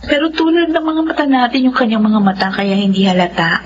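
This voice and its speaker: A woman's voice: a little high, lively intonation, a little fast, close to an everyday voice. A woman acting a chatty, friendly young woman in a drama, with a Filipino accent. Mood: proud, friendly. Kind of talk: drama dialogue. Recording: muffled recording with clear noise.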